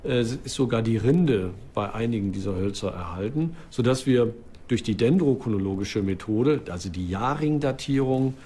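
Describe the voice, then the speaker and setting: A man speaking as an archaeologist in an interview, explaining off the cuff with halting, filled pauses. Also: medium pitch, animated, dark tone, breathy edge.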